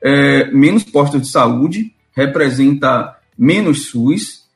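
A man's voice: medium pitch, animated, slow, faint music behind. A man from Salvador, speaking earnestly as a union speaker on a live panel and repeating key figures for emphasis. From Brazil, Salvador.

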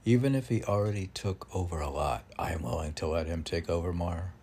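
A man with a gravelly, husky voice reading his own poems, with halting, self-deprecating commentary in which he talks back to himself. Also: deep voice, darkish tone, a little hiss or hum. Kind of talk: poem reading mixed with rambling self-commentary.

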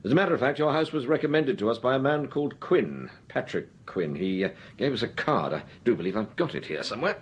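An actor playing an urbane gentleman detective with a dry, wry, clipped manner in a 1940s-style radio drama. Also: medium pitch, animated, quick pace, hardly any pauses, lo-fi sound, lightly acted.

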